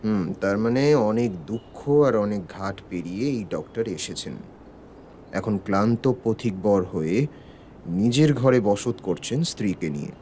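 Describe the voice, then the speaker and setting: A male actor plays a police detective in charge, with a soft, dark, fairly low voice. He questions in a measured, authoritative way, as in a radio crime drama. There is slight noise behind him. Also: animated. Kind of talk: Bengali audio detective drama acting.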